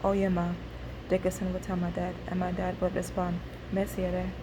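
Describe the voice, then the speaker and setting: Woman narrator, memoir reading, level monotone delivery, clear background hiss. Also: lowish voice. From Nigeria. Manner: steady, unhurried reading with occasional restarts. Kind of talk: reading her memoir aloud.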